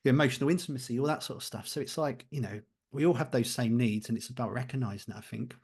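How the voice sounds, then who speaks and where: A man's voice: medium pitch, fast talker, darkish tone, husky. Blunt, informal man in conversation. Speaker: guest, mental health practitioner and speaker. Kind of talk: casual, candid podcast conversation.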